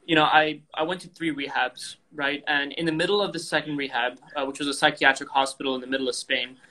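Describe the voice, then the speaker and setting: A young man who grew up in Indonesia talks frankly as an interview guest over a video call. His voice is bright and sits a little high, and he rambles, filling gaps with "you know" and restarts. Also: fairly even intonation, fast talker, rough recording. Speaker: guest athlete.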